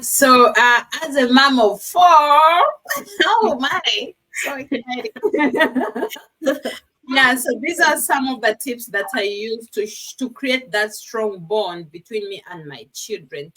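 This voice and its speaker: A woman from Uganda speaking as a guest on a live video call, effusive and quick to laugh. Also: fairly high pitch, expressive, bright, forceful tone, low-quality recording. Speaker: panel guest.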